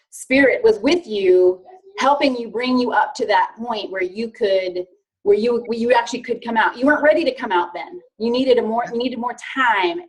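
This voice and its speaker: A woman's voice: on the high side, rough recording. Encouraging woman interviewer. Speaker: interview host. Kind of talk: conversational interview.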